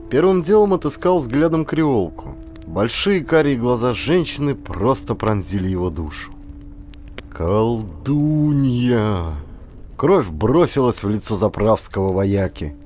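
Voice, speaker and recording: Male narrator for adventure audiobook, mid-pitched, lively intonation, theatrical delivery with deliberate pauses. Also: faint music behind. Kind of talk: dramatic scripted audiobook narration of historical adventure.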